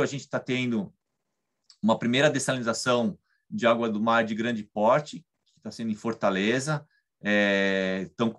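A man in his thirties with a Brazilian Portuguese accent, explaining a technical topic over slides in a webcam lecture, often adding tag questions. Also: fairly low pitch. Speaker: engineering guest lecturer. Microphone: webcam on video call.

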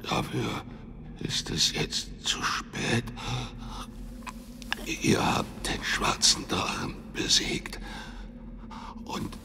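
An actor playing a dying, solemn man in a German radio drama, gasping out halting last wishes in a breathy voice. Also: background noise. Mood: weak, dying. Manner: halting, effortful speech. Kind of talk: German audio drama acting. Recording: music behind the voice.

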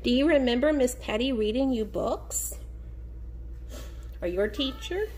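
Lady teacher doing a kids read-aloud, sing-song n slow, voice swoops up and down a lot. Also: on the high side, slight background noise. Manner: expressive, sing-song, drawn-out key words; encouraging, addresses children directly. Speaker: teacher reading a picture book aloud to young children. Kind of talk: children's read-aloud with questions to the listeners.

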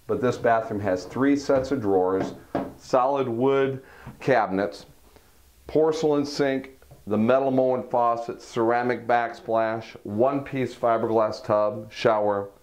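Middle-aged guy showing off a model home, salesy, rattling off features, talking indoors on a clip mic. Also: medium pitch. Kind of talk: walkthrough home tour.

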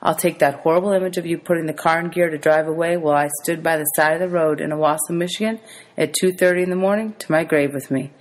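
Woman voicing an animated, teasing grown man. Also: low voice, fast, close to an everyday voice. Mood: earnest, relieved. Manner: animated, wordy, prone to exaggeration. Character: grown man, teasing, exasperated, kindly motorist.

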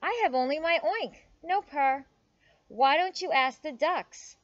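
A motherly farm pig from a storybook, read by a woman, kindly and apologetic. The voice is high and lilting, with emphatic animal noises, on a dull home recording. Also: expressive, a little slow, no echo. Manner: sympathetic, emphatic oinking.

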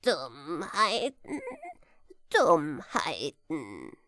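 A woman voicing a big, fat, dim frog who grumbles in a croaky, drawn-out way for a fairy tale. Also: sits low, huge pitch swings, bright, forceful tone, dry recording, studio quality, voice pushed down, full character voice. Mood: grumpy. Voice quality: croaky, gravelly. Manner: slow, drawn-out, repeating itself.

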